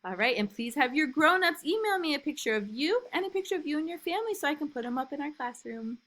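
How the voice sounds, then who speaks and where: A woman's voice: sits high, expressive, quick pace, a bit bright. A woman kindergarten teacher chatting with young children in simple, encouraging words and asking them questions. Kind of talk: read-aloud and chat addressed to young children. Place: indoors in a classroom.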